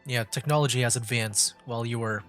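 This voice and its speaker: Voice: medium pitch, fairly quick, fairly bright tone. Casual streamer with a mid-range voice. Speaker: streamer, VTuber playing a game. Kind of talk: casual gameplay chat and reading dialogue options aloud. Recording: game music under the voice. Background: game background music.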